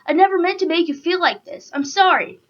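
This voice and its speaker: A woman's voice: very high voice, animated, a little fast, muffled recording, pitched above the performer's own voice. A woman voicing an affectionate, apologetic male lover, coaxing and pleading, in an amateur story reading. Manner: soft-hearted, coaxing, pleading.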